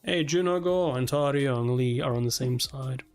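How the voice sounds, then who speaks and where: A man's voice: medium pitch, normal speed, clean tone. A man livestreaming a read-along, reacting casually, chatting with viewers and swearing offhandedly. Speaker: livestreamer reading a webtoon aloud and reacting. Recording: music playing behind the voice. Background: background music.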